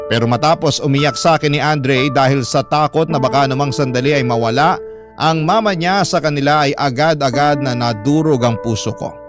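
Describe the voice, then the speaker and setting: A man's voice: a little high, close to an everyday voice. A man acting as a sorrowful letter writer, narrating a radio drama in an emotive, heartfelt way. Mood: shocked, sympathetic. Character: sorrowful letter writer recounting a love story. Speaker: story narrator reading a letter. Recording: music bed clearly behind narration.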